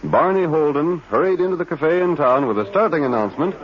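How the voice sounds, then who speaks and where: A man's voice: on the high side, lively intonation, brisk, natural voice. A man narrating a radio drama in a dramatic 1940s style. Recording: muffled, noisy old radio recording.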